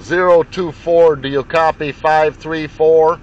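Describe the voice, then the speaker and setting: A man's voice: on the high side, steady tone, slow, background noise. A man from the USA, a radio operator, speaking in a direct, procedural way. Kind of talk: radio contact exchange. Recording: muffled radio reception.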